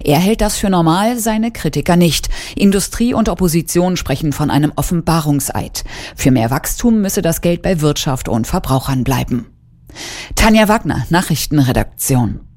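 A woman delivering a radio news report in a crisp, informative way. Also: deep voice, animated, normal speed, a little mellow.

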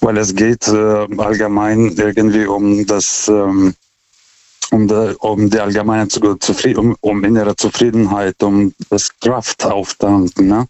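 A forty-year-old man calling a radio phone-in, speaking non-native German with an accent, haltingly, stammering over the starts of words. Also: fairly low pitch, flat delivery, darkish tone, no echo. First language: not German. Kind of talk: unscripted, reflective call-in talk. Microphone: telephone. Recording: dull, band-limited phone call.

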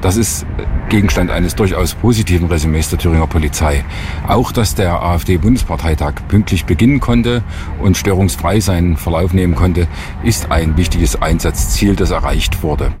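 Deep-voiced man outdoors in street noise. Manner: measured, bureaucratic wording. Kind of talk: formal press statement.

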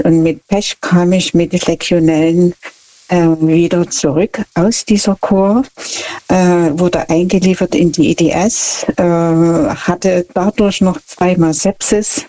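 Slow, mellow woman. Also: on the low side, muffled recording. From Saxony, Germany. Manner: earnest, composed storytelling. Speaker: caller. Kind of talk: phone-in chat.

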